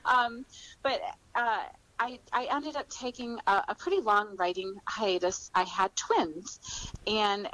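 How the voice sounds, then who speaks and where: A middle-aged woman speaks as a guest in a relaxed interview format. Her voice sits at an average pitch. She explains things in a reflective, encouraging way. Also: poor audio. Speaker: author, podcast guest. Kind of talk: conversational interview answers.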